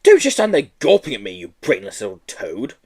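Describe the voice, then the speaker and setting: A man's voice: mid-range voice, wildly swooping pitch, fairly quick, darkish tone. A man voicing a cruel, snappish older woman teacher who barks and sneers in a children's storybook. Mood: irritable, barking. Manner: barking, sneering, scolding. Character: harsh, thin grey-haired woman teacher, cruel and snappish. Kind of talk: children's audiobook character reading.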